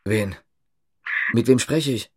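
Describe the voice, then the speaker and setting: A man's voice: medium pitch, slow pace, husky. An actor in a radio drama playing a terse, guarded, lonely East German policeman who speaks in clipped questions. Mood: brooding. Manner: clipped, probing short questions. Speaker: police officer.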